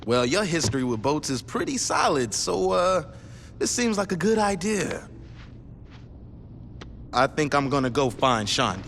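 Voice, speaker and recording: A man's voice: on the high side, big pitch swings, unhurried, long pauses, fairly bright tone, heavily acted. An actor playing a wry, talkative male gang lieutenant. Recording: reverberant game audio with faint noise.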